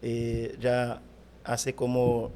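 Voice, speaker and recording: A middle-aged Haitian man, a pastor whose first language is Haitian Creole, speaking Spanish haltingly with a Haitian accent in an interview. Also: medium pitch, monotone, dark tone, slight background noise. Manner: halting, searching for words in Spanish. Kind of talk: interview answers.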